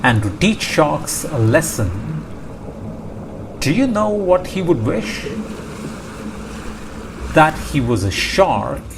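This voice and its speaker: A man reading a children's storybook aloud as scripted narration, measured and pausing between short phrases. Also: fairly high pitch, very animated. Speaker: storybook reader. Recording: clear background noise, ambience. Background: ocean ambience sound effects.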